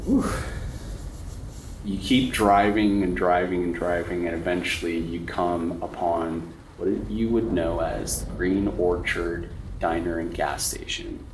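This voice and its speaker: Deep-voiced man, unhurried suspenseful game master. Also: fairly even intonation. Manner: dramatic, suspenseful, with repeated phrases. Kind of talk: horror tabletop game narration. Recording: roomy, distant mic, faint noise.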